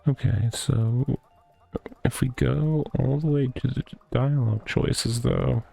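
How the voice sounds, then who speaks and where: A man playing a visual novel on a gaming video, reading the dialogue aloud in a halting, dramatic way. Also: mid-range voice, steady tone, gravelly, mellow. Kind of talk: let's-play, reading game dialogue aloud.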